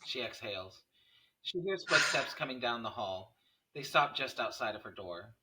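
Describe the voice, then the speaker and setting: A man with a very bright, sharp voice at middle pitch reads out stage directions and scene headings. It is scripted narration for a screenplay table read. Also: expressive, moderate pace, rough recording.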